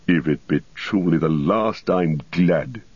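Guy doing sneering old fallen wizard for an audiobook, cracked deep voice, kinda muffled and echoey. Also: darkish tone, heavily acted. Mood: bitter, proud. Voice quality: cracked, husky. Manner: mocking, drawn-out archaic phrasing.

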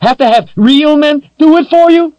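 A man voicing a defiant young woman captive who screams and mocks in a high, strained voice. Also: normal speed, a little mellow, dry recording, dull audio. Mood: taunting. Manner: shrill, screaming and mocking.

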